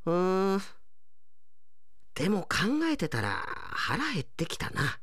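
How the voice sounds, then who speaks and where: Man playing a melodramatic alien slacker, swooping high. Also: huge pitch swings, slow pace, a bit bright, dry recording, clean studio sound, exaggerated voice. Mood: resigned. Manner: melodramatic, whiny muttering to himself. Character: hapless anime-obsessed alien agent disguised as Japanese man.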